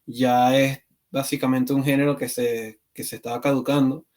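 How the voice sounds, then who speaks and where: A man from Venezuela speaks as a podcast guest, thinking out loud and halting often on fillers and restarts. His voice sits at an ordinary middle pitch for a man. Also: level tone. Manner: hesitant, many fillers and restarts. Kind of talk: conversational interview.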